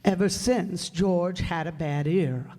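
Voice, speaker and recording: A performer playing a calm, authoritative and kindly senior angel in a radio drama, patiently giving instructions. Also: medium pitch, slow pace, lightly acted. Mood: grave, explanatory. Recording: echoey hall, long reverb.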